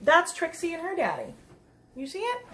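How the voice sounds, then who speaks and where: A woman reading a picture book aloud to young children, expressive, with chatty asides and simple questions to her listeners. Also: very high voice, normal speed. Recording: roomy echo, some background noise.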